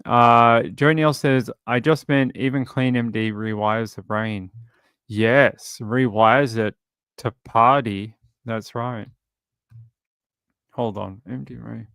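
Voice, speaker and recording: A man's voice: mid-range voice, fairly even intonation, slow pace, gravelly, fairly bright tone, clean tone, no echo. A man from Sydney with an Australian accent, hosting a livestream in casual, rambling chat with his viewers. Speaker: livestream show host.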